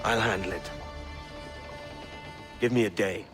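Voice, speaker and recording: An actor playing a blunt, confident young pirate in a video game, speaking directly. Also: male voice, fairly low pitch, fairly even intonation, unhurried, loud music behind the voice, natural voice. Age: young adult. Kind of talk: video game cutscene acting.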